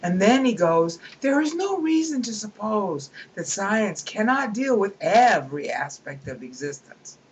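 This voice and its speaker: A woman's voice: mid-range voice, sing-song, unhurried, hardly any pauses, muffled recording, a little hiss or hum. A woman reading a book aloud at her computer and breaking off into emphatic, scornful commentary. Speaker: reader and commentator.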